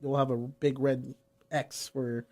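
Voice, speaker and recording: A man's voice: medium pitch, level tone, slow, darkish tone. A man from San Diego, a brewery guest on a radio show, talking eagerly and repeating words for emphasis. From San Diego, USA. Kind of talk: casual radio interview. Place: radio studio.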